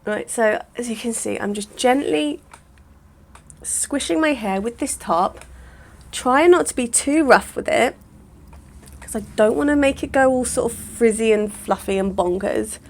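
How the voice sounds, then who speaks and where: A woman, chatting casually through a hair-care vlog, a little croaky, rambling with lots of "sort of". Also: a little high, measured pace. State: slightly croaky voice. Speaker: hair vlogger.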